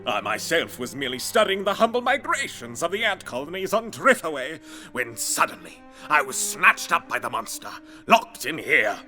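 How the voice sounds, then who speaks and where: A man's voice: a little high, very animated, moderate pace, pushed and bright, soft background music, heavily acted. A man playing a pompous, wordy, fretful bug scholar in a children's audio drama, with theatrical, pedantic delivery. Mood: solemn.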